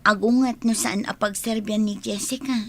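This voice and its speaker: A woman's voice: mid-range voice, steady tone, moderate pace, close to an everyday voice. An actress playing a friendly young village woman in a radio drama, with an Ilocano accent. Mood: concerned. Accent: Ilocano, northern Philippines.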